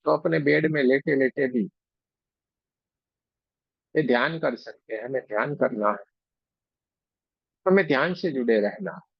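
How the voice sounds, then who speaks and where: A man leads a guided meditation in a mid-pitched, creaky voice. He speaks very slowly and devotionally, pausing between short phrases. The sound is close and dry, though somewhat dull. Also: no room sound. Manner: gentle, unhurried, devotional, phrase by phrase. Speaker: meditation guide and Reiki teacher. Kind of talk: guided meditation, slow spoken instruction with pauses.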